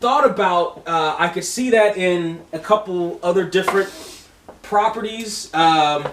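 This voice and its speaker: A man with a high-pitched voice talks through a movie review in loose, profane chat. He gets excitable and restarts his sentences a lot. He sits back from the mic in an echoey room. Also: unhurried, distant mic, slight background noise. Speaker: co-host of movie review video.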